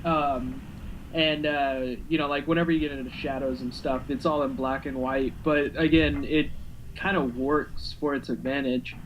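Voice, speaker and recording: A man chatting casually about games with co-hosts, quick to jump in with comments. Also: medium pitch, steady tone, muffled recording, a little hiss or hum. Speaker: game magazine staff host. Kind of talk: casual chat over gameplay.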